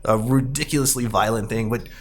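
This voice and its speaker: A man's voice: mid-range voice, steady tone, fairly quick. A man hosting a casual podcast discussion, talking in an enthusiastic, rambling way. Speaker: podcast host and arcade owner. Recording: clear background noise.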